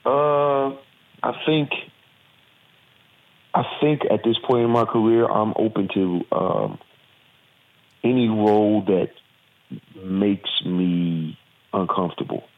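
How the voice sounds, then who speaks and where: A man's voice: fairly low pitch, takes it slowly, long pauses. A man from Virginia with a Southern accent, an actor and former football player, giving earnest, reflective interview answers with many fillers. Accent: Southern American. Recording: tinny phone-like line.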